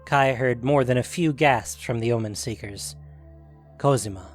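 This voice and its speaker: Man, narrator, medium pitch, scripted storytelling, fantasy audio drama, faint music bed. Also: moderate pace.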